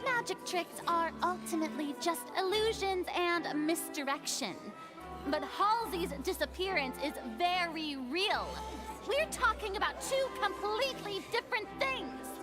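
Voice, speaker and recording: A woman's voice: very high-pitched, animated, unhurried. A woman voicing a haughty, theatrical young water goddess in a video game, with grandiose courtroom oratory over music. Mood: dismissive. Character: theatrical, haughty young female hydro archon presiding over a trial. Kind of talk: dramatic video game voice acting.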